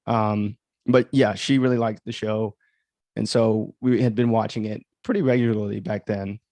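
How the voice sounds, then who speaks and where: A man's voice: on the low side, fairly even intonation, moderate pace, warm tone, dry recording. A man giving casual, rambling livestream commentary as an author and host, full of conversational asides. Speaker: author hosting a livestream of their audio drama.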